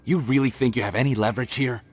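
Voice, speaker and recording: An actor playing a smug, cruel criminal boss in a video game, taunting and sneering. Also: male voice, mid-range voice, fairly even intonation, quick pace, close to an everyday voice. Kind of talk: video game cutscene dialogue.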